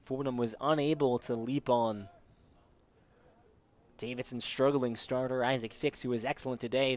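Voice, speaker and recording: A man calling live baseball play-by-play as a sports commentator. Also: mid-range voice.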